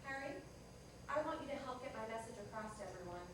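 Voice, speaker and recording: A calm, confident God in female form, played by a woman in a stage play, gently persuasive and high in pitch. The voice comes from far off in a very echoey hall. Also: fast, close to an everyday voice. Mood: wry, persuasive. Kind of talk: live staged play reading. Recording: noisy, very echoey hall, distant mic.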